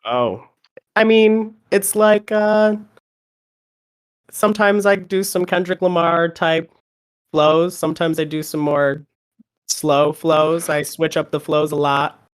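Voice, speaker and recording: A man's voice: a little high, slow, smooth. Slightly sick man, a laughing rap guest. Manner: laughs often, relaxed and self-deprecating. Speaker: rapper guest. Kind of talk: casual interview chat.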